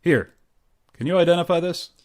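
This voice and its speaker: A man's voice: on the high side, normal speed, darkish tone, natural voice. A man playing a sarcastic, pompous boss in an old-time radio comedy. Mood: sardonic, scolding. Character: sardonic, blustery boss who calls employee "boy".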